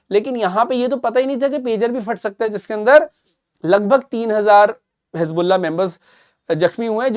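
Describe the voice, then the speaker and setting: A man's voice: high-pitched, a little mellow. A man with an Indian accent presents current affairs analysis in an emphatic, repetitive explanatory way, switching between two languages. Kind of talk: explanatory news analysis to camera.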